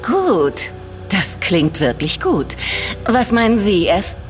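An actress playing a cold, domineering shareholder in an audio drama, speaking in a clipped, formal manner. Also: female voice, medium pitch, sing-song, slow pace, faint music behind. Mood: businesslike. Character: cold, commanding female shareholder and conspirator. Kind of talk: German audio drama acting.

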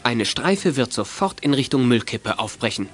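A man's voice: mid-range voice, big pitch swings, moderate pace, soft background music. A man giving scripted narration for a youth detective radio play.

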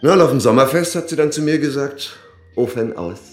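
A man's voice: mid-range voice, expressive, a little fast, a little mellow, reverberant, soft background music, lightly acted. An actor playing a rich, flippant and defiant male suspect in a German radio crime drama, speaking colloquially and sardonically. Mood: brazen, frank.

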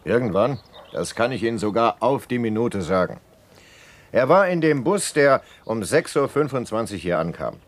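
An actor in a German radio play playing a talkative bus conductor who is pedantic about punctuality. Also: male voice, medium pitch, sing-song, normal speed. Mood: eager, self-assured. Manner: precise, eager, talkative.

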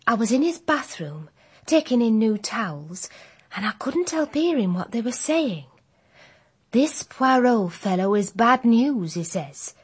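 An actress playing a timid, earnest young housemaid in a radio drama, deferential, with a working-class English accent. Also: female voice, a little high, very animated, measured pace, slightly rough, heavily acted. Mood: anxious, apologetic. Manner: deferential, unpolished grammar.

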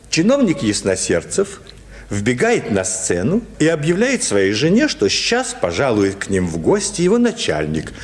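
An actor narrating a comic literary story for Russian radio as a wry, expressive storyteller. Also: male voice, mid-range voice. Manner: expressive, acts out quoted phrases.

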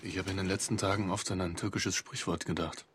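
An actor playing a melancholy middle-aged merchant senator in a radio drama, speaking in a halting, weary way. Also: male voice, low-pitched, steady tone, very noisy, natural voice. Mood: brooding, weary. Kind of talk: German radio drama acting.

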